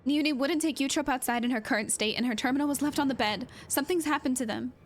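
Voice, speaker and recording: Casting: woman as courteous, composed video game friend of the hero; slightly high voice. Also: fast talker, close to an everyday voice. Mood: worried. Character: courteous, steady gym-owning ally of the hero. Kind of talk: amateur video game character voicing.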